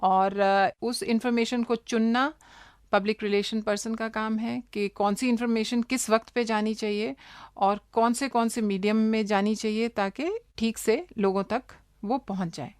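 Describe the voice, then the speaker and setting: A woman with an Indian accent giving conversational interview answers, measured and hesitant, mixing in English words. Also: on the high side, fairly even intonation, a little mellow, smooth, dry recording, studio quality. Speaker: interview guest, public relations officer.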